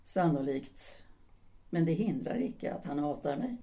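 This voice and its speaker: An actress playing an embittered middle-aged wife and former actress, trapped in an unhappy marriage, in an audio drama. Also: female voice, deep voice, animated, mellow. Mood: bitter. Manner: bitter, despairing. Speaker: actor.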